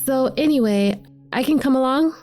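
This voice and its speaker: Woman as teenage girl, playful, teasing, wordy, soft dark tone, light music behind. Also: sits high, moderate pace, close to an everyday voice. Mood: coaxing. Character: teenage daughter, playful, cheeky, persuasive with her dad. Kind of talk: audio drama acting, conversational.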